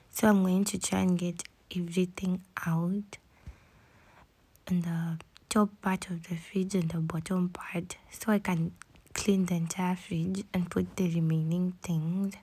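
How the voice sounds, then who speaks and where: A woman vlogger, croaky from just waking up, talking in a soft half-whisper with lots of "like" fillers in a casual voice-over from her home kitchen. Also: lowish voice, flat delivery, a little slow. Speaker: lifestyle vlogger.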